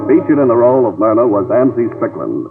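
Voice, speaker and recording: A man's voice: medium pitch, sing-song, fast. A man reading the closing credits as radio announcer in formal broadcast style. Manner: crisp, formal announcer delivery. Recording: tinny old radio broadcast with music.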